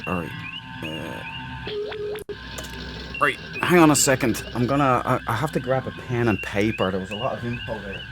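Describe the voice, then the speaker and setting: A man's voice: mid-range voice, expressive, normal speed, pauses often. A man giving casual, playful commentary as he hosts a video game playthrough. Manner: playful, jokey reactions. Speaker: let's play gamer, host. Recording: game music behind the voice.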